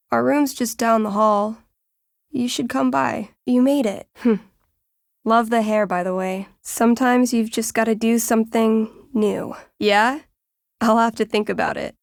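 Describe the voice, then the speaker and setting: A woman's voice: a little high, vocal fry, no echo, studio quality. A woman playing a friendly, nerdy teenage girl in a video game, casual and playful, with a game master's flair for drama. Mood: warm, casual. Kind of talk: naturalistic video game voice acting.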